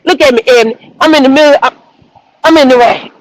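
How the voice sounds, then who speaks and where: High-voiced woman preaching in the rain. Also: brisk, low-quality recording. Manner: repetitive, exhorting, rising to shouts. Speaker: minister and show host. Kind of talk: motivational preaching on a livestream. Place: outdoors in the rain. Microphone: phone livestream.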